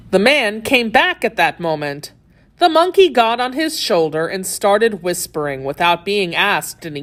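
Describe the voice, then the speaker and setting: A woman narrating a children's story from a script, telling it in an expressive, deliberate way. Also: on the low side.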